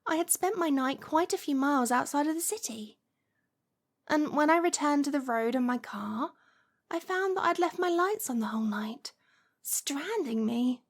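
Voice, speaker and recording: A woman playing a lovestruck, possessive witch in an ASMR roleplay, cooing and coaxing with a condescending sweetness. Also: high voice.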